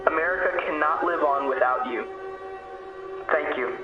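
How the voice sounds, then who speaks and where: A man's voice: fairly high pitch, moderate pace, natural voice. A man playing a solemn, reassuring president giving a scripted, earnest address to the nation. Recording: very echoey, dull sound over music.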